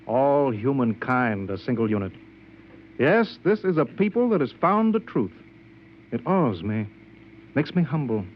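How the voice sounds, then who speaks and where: A man's voice: medium pitch, sing-song, a little slow. An actor playing a falsely friendly, grandiose male blackmailer in 1950s radio drama style, insinuating and commanding. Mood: smugly commanding. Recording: dull, noisy vintage radio recording.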